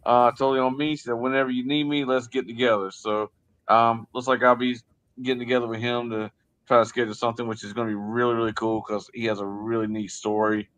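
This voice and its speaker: A man's voice: mid-range voice, level tone, fast, a bit bright, poor audio. A man co-hosting a sports livestream, chatting casually in a rambling, hesitant way. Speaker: sports show co-host and interviewer. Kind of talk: casual, unscripted livestream chat.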